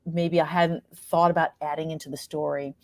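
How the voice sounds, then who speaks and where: Female interview guest, slightly low voice, chatty and appreciative delivery, no room sound. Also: level tone, normal speed, a little mellow, no echo. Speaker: playwright and performer, interview guest. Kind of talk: casual conversational interview answers.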